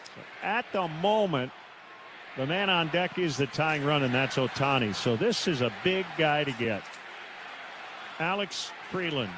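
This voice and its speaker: Slow, clipped American baseball announcer, noisy ballpark. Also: male voice, fairly high pitch. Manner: clipped short phrases with pauses. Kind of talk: live radio play-by-play commentary. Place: stadium broadcast booth. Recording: noisy live ballpark broadcast.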